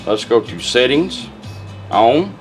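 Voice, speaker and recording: A man's voice: mid-range voice, sing-song, slow, faint music behind. A man talking casually and plainly as he demonstrates a product step by step, in a folksy, instructional way. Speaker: car radio restorer demonstrating a product.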